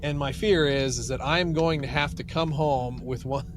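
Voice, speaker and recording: A man co-hosting a podcast in casual chat, grumbling wryly in a halting way. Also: medium pitch, steady tone. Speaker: podcast co-host. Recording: clearly noisy ambient background.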